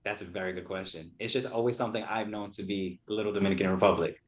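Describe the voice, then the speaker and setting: A man's voice: low-pitched, steady tone, fast talker. A young man with a New York accent, a poet guesting on a podcast, talking casually with light laughs and hedging fillers. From Brooklyn, New York. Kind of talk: casual conversation.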